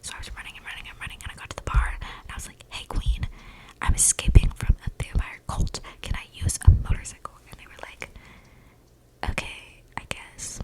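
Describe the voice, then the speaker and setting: A woman voicing an eccentric, flippant young vampire in a breathy ASMR whisper, rambling in casual slang close to the microphone. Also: fairly quick, lightly acted, poor audio. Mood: chatty, confiding. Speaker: ASMR roleplayer. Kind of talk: whispered ASMR storytelling roleplay. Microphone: close microphone picking up breaths.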